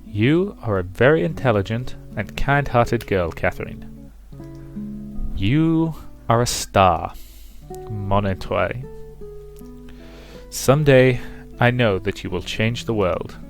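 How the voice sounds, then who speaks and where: A man voicing a kindly, courteous young marquis in a visual novel, measured and gracious. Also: mid-range voice, lively intonation, soft background music. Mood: tender.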